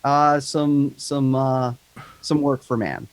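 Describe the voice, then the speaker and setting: A man with a higher-pitched voice and a slight lisp, talking candidly and rambling in a casual podcast chat, swearing freely. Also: fairly even intonation, takes it slowly, no echo.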